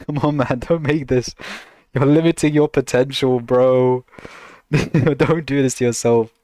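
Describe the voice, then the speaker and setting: A man's voice: mid-range voice, fairly even intonation, a little slow, mellow, no room sound. A man casually reacting to videos on a stream, laughing a lot and teasing in a slangy, joking way.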